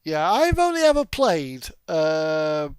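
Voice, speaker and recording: A man with a high voice and very animated intonation talks casually about gaming. He rambles along in a self-amused manner. The sound is dry and close, with no room around it. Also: takes it slowly, smooth, no echo. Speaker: video game streamer.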